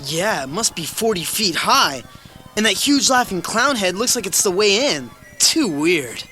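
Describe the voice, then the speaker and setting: A woman's voice: mid-range voice, big pitch swings, measured pace, pushed and bright. A woman playing a brash, teasing, curious young boy in an audio drama. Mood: intrigued, concerned. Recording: old cassette with faint music.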